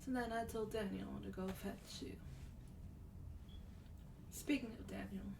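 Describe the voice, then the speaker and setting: A woman playing a sweet, teasing vampire demon in a gentle, breathy, partly whispered ASMR roleplay. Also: medium pitch, steady tone. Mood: wry, musing. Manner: gentle, polite, unhurried, coaxing. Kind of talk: soft-spoken ASMR roleplay. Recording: distant mic, clear background noise.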